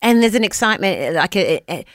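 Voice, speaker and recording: A woman's voice: mid-range voice, sing-song, fairly quick, no room sound, studio quality. Enthusiastic New Zealand woman on radio. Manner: enthusiastic, reflective, reads scripture aloud. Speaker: radio host. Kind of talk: conversational Bible discussion on radio.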